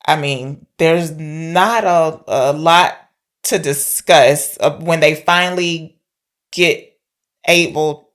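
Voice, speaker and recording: A woman's voice: deep voice, steady tone, takes it slowly. A woman co-hosting a podcast, talking informally as she retells and discusses a film. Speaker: podcast co-host.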